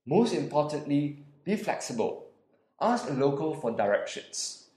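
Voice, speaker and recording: Man, Singaporean accent, lively rising and falling delivery, scripted tour narration, roomy echo, faint music behind. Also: a little high, measured pace. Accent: Singaporean English. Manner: animated, guiding the listener step by step. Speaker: podcast host and audio tour guide.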